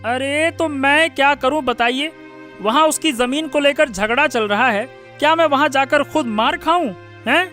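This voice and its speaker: A man's voice: extremely high pitch, moderate pace, pushed and bright, faint music behind. A man voicing a defensive, boastful young village errand man in a cartoon story. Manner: protesting.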